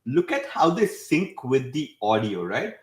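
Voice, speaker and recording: Man, webinar host, casual tutorial, medium pitch, frequent "right?" tags, echoey recording. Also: very animated, unhurried, a little hiss or hum. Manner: often tags statements with "right?". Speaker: webinar host demonstrating software. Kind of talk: casual screen-share tutorial.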